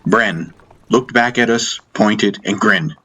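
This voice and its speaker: A man narrating a first-person fantasy audiobook in deliberate, dramatic short phrases. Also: on the low side, fairly bright tone, slight background noise. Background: music.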